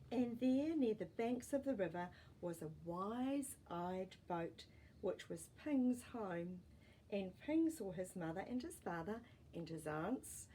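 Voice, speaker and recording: A woman reads a children's storybook at a slow, deliberate pace. Her narration is expressive, with dramatic pauses and emphasis, at a medium pitch. Some background noise sits faintly behind her voice. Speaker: storyteller reading a children's book. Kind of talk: expressive storybook narration with asides to young listeners.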